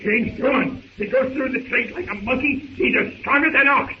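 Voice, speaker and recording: High-pitched, panicky pirate henchman. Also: normal speed. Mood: panicked. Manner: panicky, breathless, uneducated speech. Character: terrified, whining pirate henchman. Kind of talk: 1930s radio acting. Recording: dull, faintly hissy 1930s radio recording.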